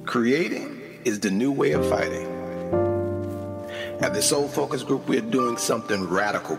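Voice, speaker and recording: A man's voice: fairly low pitch, vocal fry. A man reading a promotional announcement in an emphatic, rallying tone. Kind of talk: promotional ad read.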